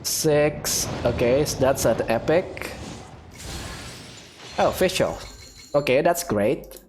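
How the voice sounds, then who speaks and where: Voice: mid-range voice, expressive, slow pace, darkish tone, slight background noise. A video game streamer giving casual, chatty commentary to viewers, rambling and thinking aloud. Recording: game music behind the voice. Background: video game music.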